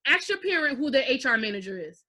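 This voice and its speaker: Bright, high-voiced young woman hosting. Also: quick pace. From United States. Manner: animated, gestures while talking, mixes in Haitian Creole. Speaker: host. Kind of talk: casual video-call interview. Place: living room, indoors. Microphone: video call webcam.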